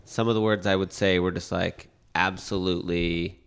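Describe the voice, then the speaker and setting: A man's voice: deep voice, steady tone, moderate pace, creaky, smooth. A young man co-hosting a casual podcast, rambling and joking with his friends. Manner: joking and rambling, laughs between lines. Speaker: podcast co-host.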